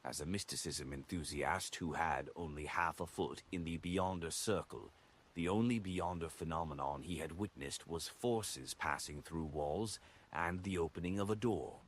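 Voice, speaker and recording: Husky, deep-voiced male audiobook narrator. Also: normal speed. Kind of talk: scripted novel narration.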